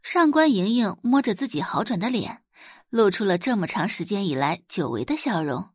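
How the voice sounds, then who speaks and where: Animated female audiobook narrator. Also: mid-range voice, moderate pace, breathy edge, dry recording, clean studio sound. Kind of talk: scripted dramatic narration.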